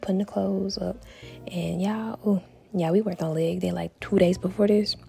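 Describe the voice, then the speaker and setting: A woman's voice: mid-range voice, fairly even intonation, normal speed, gravelly, dark tone. A young woman narrating her cleaning vlog in a chatty, slangy voice-over, sounding tired, with many half-whispered asides to her viewers. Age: young adult. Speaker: lifestyle vlogger.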